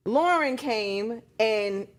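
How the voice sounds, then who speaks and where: A woman's voice: fairly high pitch, lively intonation, very slow. A woman speaking as a talk show panelist, giving her opinions in an emphatic, conversational way.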